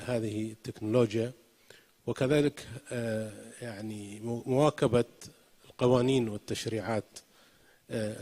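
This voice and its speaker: A native Arabic-speaking man from the audience asks a formal question at a conference, pausing often with hesitant "aah" sounds. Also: fairly low pitch, a little mellow. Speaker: audience member asking a question. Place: conference hall.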